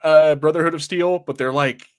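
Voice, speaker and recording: A man's voice: a little high, fairly even intonation, moderate pace, clean tone. A man co-hosting a casual gaming podcast, rambling with lots of restarts and fillers and laughing often. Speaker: podcast co-host.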